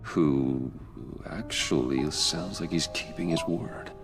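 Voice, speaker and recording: An actor playing a brooding, serious vigilante in a video game, measured and grimly determined. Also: male voice, very deep voice, vocal fry, over music, exaggerated voice. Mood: grimly focused. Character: brooding male billionaire vigilante, adult, grimly determined and analytical.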